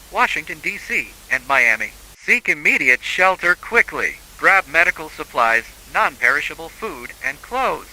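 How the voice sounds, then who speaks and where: A man's voice: a little high, sharp tone, slight background noise, rough recording. A man reading scripted emergency alerts as a broadcast announcer, in a formal, solemn manner.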